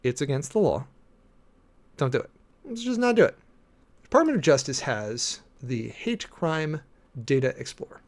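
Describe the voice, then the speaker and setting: American male commentator, mid-range voice, informal and emphatic, leaning on key words with deliberate pauses. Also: mid-range voice. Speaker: preacher and livestream commentator. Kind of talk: live commentary on a document and statistics, informal.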